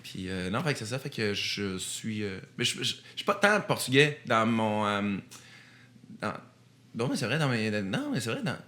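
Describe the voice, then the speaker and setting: A man's voice: fairly low pitch, sing-song, moderate pace, pushed and bright. A man with a Quebec French accent chatting casually and jokingly as a podcast guest, rambling and self-deprecating. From Quebec, Canada.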